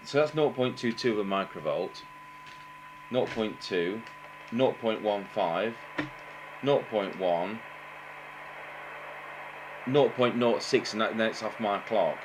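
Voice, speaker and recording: A middle-aged man giving an unscripted technical demonstration as a radio engineer, haltingly thinking aloud. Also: on the low side, expressive. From England. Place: indoor workbench, voice off camera. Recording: noticeable background noise with hum.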